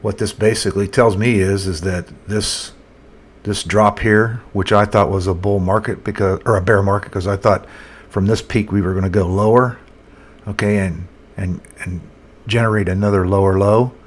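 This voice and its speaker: Very deep-voiced man, halting market commentary. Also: steady tone. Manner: halting, thinking aloud. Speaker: market analyst presenter. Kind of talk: casual unscripted chart commentary. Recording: noticeable background noise.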